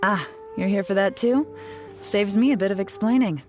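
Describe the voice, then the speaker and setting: A woman's voice: medium pitch, moderate pace, darkish tone, lightly acted. An actress playing a composed, sly young woman investigator in a video game, dry and teasing as she explains. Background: faint game music.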